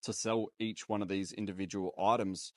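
A man's voice: fairly low pitch, fairly even intonation, moderate pace, no echo, clean studio sound. A man with an Australian accent, chatting casually as an interviewer. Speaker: interviewer and video host.

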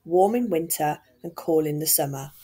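A woman's voice: low-pitched, normal speed, warm tone, breathy edge. A woman from England narrating a walking tour in a friendly, chatty, enthusiastic way. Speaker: vlogger and tour guide.

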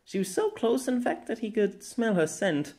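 A man narrating his own fantasy novella as an amateur audiobook, telling it in an expressive storytelling manner. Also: sits high, normal speed.

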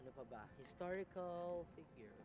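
A man's voice: fairly high pitch, animated, normal speed, dull audio, over music. A young Filipino man with a Filipino accent, speaking in an interview about his acting. He talks earnestly, restarts his sentences often and mixes Tagalog with English. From Philippines. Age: young adult. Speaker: actor. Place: indoors in a cinema with red seats.

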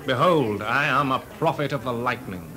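An actor playing a witty young composer in a 1980s radio drama, talking dryly and ironically. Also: male voice, mid-range voice, moderate pace, close to an everyday voice. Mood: wry. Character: composer Delius, young man, wry and witty. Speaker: lead actor. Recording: party crowd behind.

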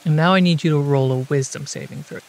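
A man narrating a tabletop fantasy game as game master, in a measured, suspenseful storytelling manner. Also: fairly high pitch.

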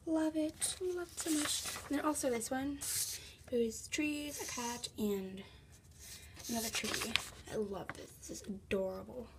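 Teen vlogger, bright high voice, slightly hoarse, chatty, phone-at-arm's-length sound with faint room noise. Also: female voice, unhurried. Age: teens. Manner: chatty, lots of fillers, upbeat. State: slightly hoarse, losing her voice. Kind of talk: casual vlog. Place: house, indoors and on a back deck. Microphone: phone camera at arm's length.

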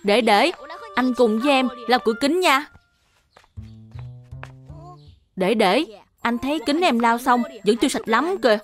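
A woman's voice: a little high, lively intonation, moderate pace, faint music behind, natural voice. A woman voicing a naive, earnest little boy, an older brother in a children's cartoon. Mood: eager. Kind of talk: Vietnamese dubbed children's cartoon acting.

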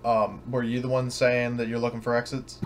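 A man narrating a tabletop game as game master in a casual, halting, self-correcting way. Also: mid-range voice, flat delivery. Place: at home, online voice session. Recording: clearly noisy home recording.

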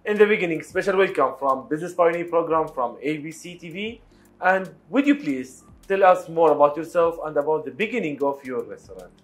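A man's voice: on the high side, animated. A man hosting a business interview show, asking formal, scripted questions. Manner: rapid, scripted delivery.

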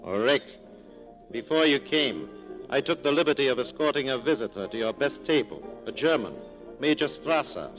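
An actor playing a wryly corrupt police captain with an urbane, teasing, courtly manner, in 1940s radio style. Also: male voice, medium pitch, sing-song, moderate pace, a bit bright, faint music behind. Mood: suave, teasing. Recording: muffled 1940s radio broadcast recording.

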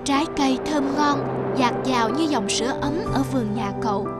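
Female fairy-tale narrator, high register, scripted storytelling over prominent music. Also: normal speed. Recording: loud music behind the voice. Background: loud background music.

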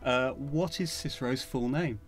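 A man's voice: mid-range voice, unhurried. A man, hosting a casual quiz as banter. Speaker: interviewer, quiz host, audio drama director. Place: recording studio control room, indoors.

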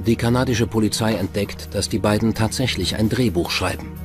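A man's voice: lowish voice, a little fast. A man delivering scripted narration for a true-crime documentary. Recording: music bed behind narration. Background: music.

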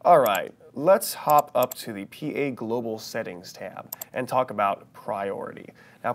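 An American man in his thirties presenting a scripted but conversational software tutorial in an animated, playful way. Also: mid-range voice, vocal fry. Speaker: software training instructor.

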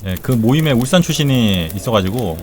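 A man's voice: mid-range voice, expressive, fairly quick, slight background noise. A man in his forties, a game streamer, chatting casually with viewers in a joking, rambling way with blunt swearing. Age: 40s. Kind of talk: casual live-stream chat while gaming.

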